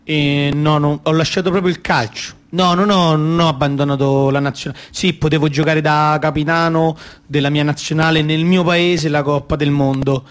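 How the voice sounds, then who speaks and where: A man's voice: a little high, fairly even intonation, normal speed, few pauses, clear, resonant tone, close to an everyday voice. A man playing a wistful, conflicted young retired football captain in a radio play, hesitant and justifying himself in colloquial speech. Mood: defensive, regretful. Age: young adult.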